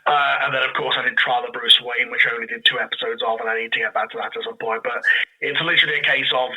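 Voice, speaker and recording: A man's voice: mid-range voice, fairly even intonation, quick pace, sharp tone, husky, low-quality recording. A man speaking as a podcast guest and audio drama creator, chatting casually and rambling through his explanations. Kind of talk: casual interview chat.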